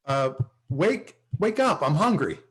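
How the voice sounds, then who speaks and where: Guy acting sarcastic sitcom sales dude, up and down voice, bit high, kinda hapless. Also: sing-song, slow. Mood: wheedling. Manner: sarcastic, wheedling, hesitant. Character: self-important, hapless male office salesman. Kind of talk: sitcom table read. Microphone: video call.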